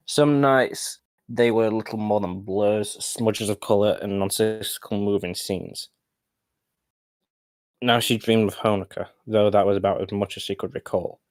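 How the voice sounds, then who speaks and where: A man narrating a story as an amateur, halting and often correcting himself. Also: lowish voice, dry recording. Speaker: narrator of fan fiction storytime.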